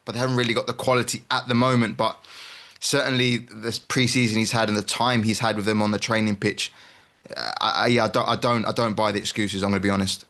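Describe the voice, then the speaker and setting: A man giving casual, candid football analysis as a pundit in a measured way. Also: lowish voice, little pitch movement, fairly bright tone.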